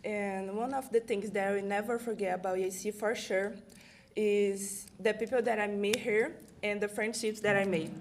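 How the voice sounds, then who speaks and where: A woman's voice: mid-range voice, fairly even intonation, moderate pace, distant mic. A young woman, a Portuguese speaker, giving an informal banquet speech. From Brazil. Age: young adult. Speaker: college athlete. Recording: very noisy live banquet recording.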